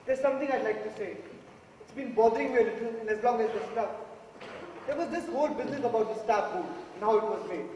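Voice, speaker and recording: A young Indian man on an amateur stage, playing an earnest, quiet juror who grew up in a rough neighborhood. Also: very high voice, fast talker. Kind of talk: amateur stage drama acting. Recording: echoey theatre hall recorded from far off, hiss.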